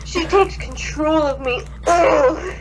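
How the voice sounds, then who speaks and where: A woman's voice: high voice, slow, a crowd in the background, pitched above the performer's own voice, low-quality recording. A woman voicing a brooding, lovestruck male serial killer who groans melodramatically in a fan fiction reading. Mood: anguished, smitten.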